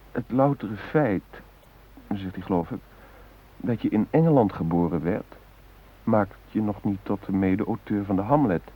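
A man acting an earnest, guilt-ridden humanist in a radio play, musing in deliberate, introspective phrases. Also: on the low side, narrow, tinny audio, close to an everyday voice. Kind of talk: radio drama monologue. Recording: hissy old radio play recording.

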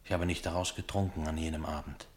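A man acting a mysterious, homesick foreign soldier in a radio play, speaking solemnly and poetically in a measured delivery. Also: very deep voice, steady tone. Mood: solemn, brooding.

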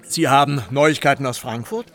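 An actor playing a courteous, inquisitive gentleman traveller in a radio play, speaking formally and probing politely. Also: mid-range voice, moderate pace, lightly acted. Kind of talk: German radio play acting.

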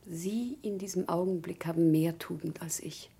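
An actress playing a proud, wounded wife hailed as a saint in a German radio play, with intense theatrical delivery. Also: female voice, lowish voice, moderate pace, warm tone. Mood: resigned. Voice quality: husky, breathy.

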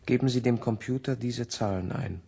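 An actor playing a sardonic, defiant physicist in a 1970 radio play, with a dry, emphatic delivery. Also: male voice, medium pitch, normal speed, creaky, warm tone, a little hiss or hum, close to an everyday voice. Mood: determined. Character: defiant, sardonic male physicist, wary of machines.

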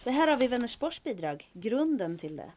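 A woman playing a spirited, quick-witted heroine in an old-time radio drama, with a wry, exasperated and expressive delivery. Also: on the high side, slight background noise. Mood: dry, composed.

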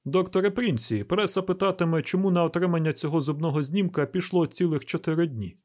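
A man's voice: on the high side, quick pace, a little mellow, voice pushed up, lightly acted. A man voicing a persistent, needling police press officer in an audiobook, with pointed questioning.

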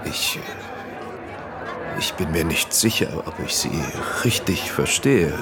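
An actor playing a celebrated, wary film director in a German radio drama, hesitant and given to sighs and sudden outbursts. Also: male voice, low-pitched, lively intonation, slow, over a noisy crowd, heavy background noise. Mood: wary, indignant. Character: celebrated male film director, wary, easily outraged, vulnerable.

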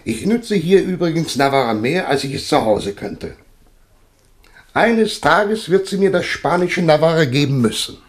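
A man's voice: on the high side, animated, unhurried. An actor playing an ambitious nobleman and husband in a 1970s radio drama, wry and commanding.

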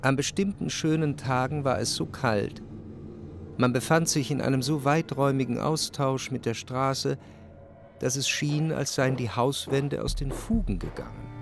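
An actor playing a jealous, brooding man recalling an obsessive love, in reflective, measured literary radio drama narration. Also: medium pitch, vocal fry, background noise, lightly acted. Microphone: close dry studio microphone.

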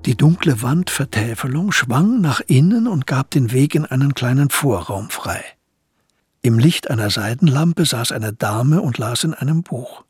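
A man's voice: medium pitch, animated, a little slow, a little mellow, breathy edge, no room sound. A man giving scripted narration for a historical crime radio play.

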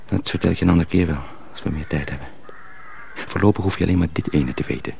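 A man playing a sly young miller's apprentice who poses as a simpleton, speaking confidingly and deliberately in a radio drama. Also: deep voice, vocal fry, a little mellow. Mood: secretive, urgent. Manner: deliberate, hesitant, confiding, repeats himself. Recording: faint background noise.

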